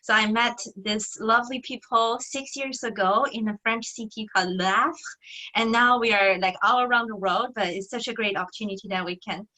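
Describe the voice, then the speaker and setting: A woman's voice: on the high side, fairly even intonation, a little fast, pushed and bright, poor audio. A young woman from China introducing herself casually on a video call, in loose, friendly phrasing.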